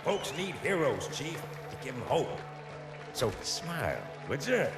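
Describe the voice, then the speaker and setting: An actor playing an upbeat veteran marine sergeant in a game, with a folksy, teasing manner. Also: male voice, fairly high pitch, big pitch swings, measured pace. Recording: roomy echo, loud music behind.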